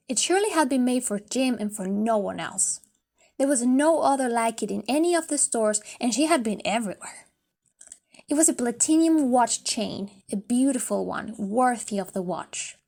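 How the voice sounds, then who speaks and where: Higher-pitched woman reading a story. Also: darkish tone. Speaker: audiobook reader. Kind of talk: scripted story narration for learners.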